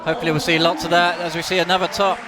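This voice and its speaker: A man co-commentating live on a climbing competition, enthusiastic and excitable, often repeating words as he reacts to the action. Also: a little high, racing. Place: big climbing competition hall with a large crowd. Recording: loud crowd and background noise in an arena.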